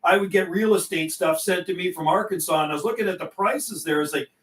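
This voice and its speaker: A man's voice: high-pitched, fast talker, fairly bright tone, roomy sound, far from the mic. A middle-aged man chatting casually over CB radio on a livestream, folksy and given to repeating himself. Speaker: CB radio operator and livestream host.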